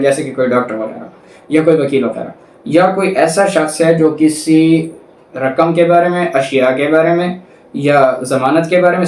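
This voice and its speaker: Higher-voiced Pakistani man lecturing, echoey and distant. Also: darkish tone. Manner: methodical, didactic, explains with everyday examples. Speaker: law lecturer. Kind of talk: explanatory lecture, reading statute clauses aloud then explaining. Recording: echoey room, distant microphone.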